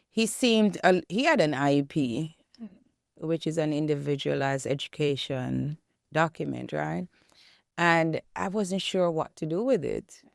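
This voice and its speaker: A woman in her fifties from Jamaica, with a Jamaican accent, answering interview questions in a reflective, measured way. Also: sits low, gravelly, no room sound, studio quality. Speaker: guest, educator and author. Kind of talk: interview conversation.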